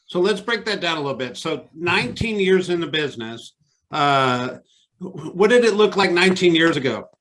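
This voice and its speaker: A man's voice: fairly high pitch, lively intonation, moderate pace, a bit bright, low-quality recording. A man hosting a casual interview over a video call, upbeat and conversational, prompting his guest with questions. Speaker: interview host.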